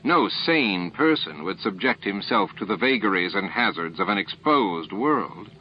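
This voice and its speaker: A man voicing a calm, formal, self-assured alien leader in an audiobook, condescending and baffled by others. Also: on the low side, unhurried, highs cut off, slight background noise. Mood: smoothly reasoning.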